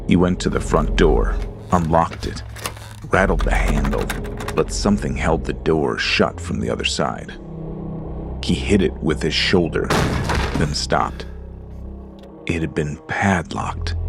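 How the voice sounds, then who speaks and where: A man with a very deep, gravelly voice reads a horror story slowly and ominously. Creepy music plays underneath his narration.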